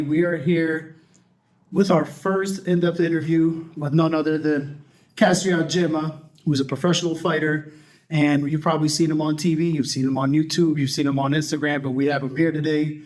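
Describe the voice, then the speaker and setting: A man's voice: on the high side, level tone, moderate pace. A man hosting a podcast interview, chatty and upbeat, with many ums. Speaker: podcast host.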